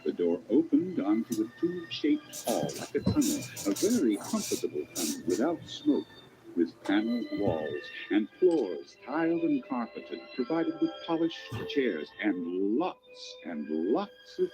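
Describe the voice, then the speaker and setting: A man narrating a fantasy radio play as a storyteller, measured and expressive, savoring each detail. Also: on the low side, poor audio. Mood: storytelling. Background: music.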